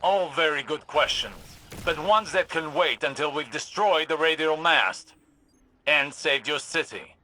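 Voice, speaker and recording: Older wizard mentor, highish forceful voice, imperious, urgent, formal, video game drama, faint noise behind. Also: male voice, unhurried. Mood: impatient. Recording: faint background noise and ambience.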